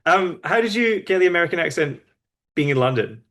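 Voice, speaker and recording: A man hosting a casual interview, asking questions and giving quick easygoing affirmations. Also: a little high, lively intonation, a bit bright. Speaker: podcast host.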